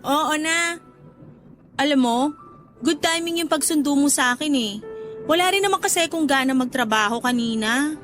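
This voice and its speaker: Coy, high-voiced young waitress, played by an actress. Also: female voice, normal speed, pauses often, fairly bright tone, soft background music. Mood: playful, coy. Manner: coy, playful, conversational. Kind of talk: Filipino radio romance drama dialogue.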